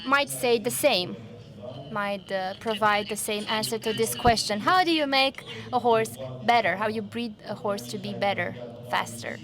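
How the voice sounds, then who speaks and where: A woman interprets into English at a very slow, measured pace, with a slightly high voice. An audible audience murmurs behind her. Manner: measured, careful delivery with pauses. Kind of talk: simultaneous interpreting. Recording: audience crowd noise behind.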